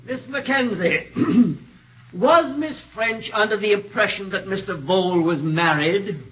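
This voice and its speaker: An actor playing a formal, probing prosecuting counsel with declamatory questioning in a 1940s radio drama. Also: male voice, high voice, lively intonation, measured pace, fairly bright tone, a little hiss or hum. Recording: distant, dull 1940s radio recording.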